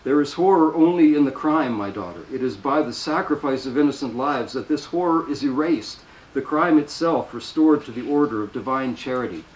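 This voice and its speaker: A man's voice: medium pitch, natural voice. A man reading as a stern devout prioress. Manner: measured, firm. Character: calm older prioress, woman, stern and devout. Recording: roomy, distant mic, faint noise.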